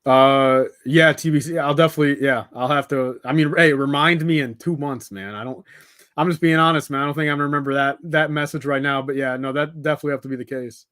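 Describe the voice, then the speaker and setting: A man's voice: a little high, steady tone, fast, a bit bright, dry recording. A young man talks casually to his livestream viewers. His delivery is unscripted and wandering, sprinkled with informal address like dude and man. The sound is dry, with very little room to it. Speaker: live streamer hosting a building stream.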